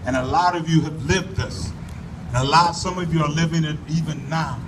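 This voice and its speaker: A man giving an earnest, folksy address to a crowd at an outdoor rally in a park, speaking loudly into a microphone and urging people to come closer. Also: a little high. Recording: voice from far off the mic, faint background noise.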